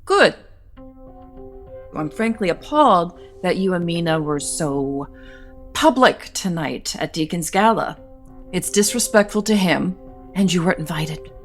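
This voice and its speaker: A woman's voice: fairly low pitch, lively intonation, a little slow, long pauses, faint music behind. A middle-aged woman playing an imperious, disapproving wealthy mother in an audio soap opera, with a formal, scolding manner. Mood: stern. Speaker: actor.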